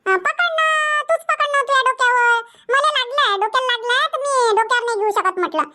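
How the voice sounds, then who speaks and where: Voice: very high voice, quick pace, pushed and bright, full character voice. A performer voicing a wheedling, insistent village boy in a cartoon comedy, with a Varhadi Marathi accent. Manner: insistent, cajoling.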